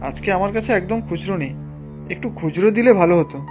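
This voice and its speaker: A man's voice: high-pitched, expressive, quick pace, dull audio, over music. An actor playing a polite, humble young delivery boy in a cartoon, respectful and earnest. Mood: pleading. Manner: pleading, respectful. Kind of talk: animated cartoon dialogue.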